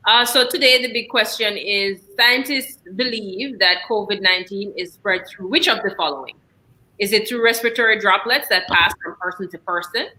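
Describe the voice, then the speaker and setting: A woman's voice: mid-range voice, bright, forceful tone, low-quality recording. A woman emergency physician from Jamaica, speaking on a panel, her voice dropping at the ends of phrases. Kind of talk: panel discussion.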